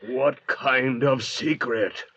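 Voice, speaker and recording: Casting: hot-tempered knife-carrying villain, theatrical and husky, old radio serial style, dull recording. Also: male voice, medium pitch, steady tone, extremely slow, sharp tone, exaggerated voice. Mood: menacing. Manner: threatening, theatrical. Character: menacing, suspicious, hot-tempered male convict with a knife. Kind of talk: 1940s-50s radio drama acting.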